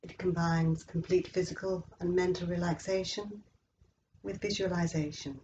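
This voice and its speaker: Female meditation guide, soft-edged dark timbre, slow and soothing, slightly muffled recording. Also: lowish voice, fairly even intonation, a little hiss or hum. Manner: slow, measured, soothing delivery with long pauses. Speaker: yoga nidra instructor.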